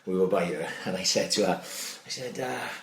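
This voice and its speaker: Middle-aged podcast host, brisk sweary storyteller, deep voice, roomy distant sound. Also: male voice. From Wales. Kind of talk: casual chat. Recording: echoey room, distant mic.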